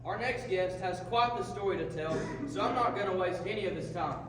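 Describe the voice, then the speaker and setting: Echoey, high-voiced Southern puppet show host played by a man. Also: a little fast, over music, lightly acted. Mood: upbeat, folksy. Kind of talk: scripted comedy talk show skit on stage. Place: large echoey hall, live performance. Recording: echoey hall from far off, noisy.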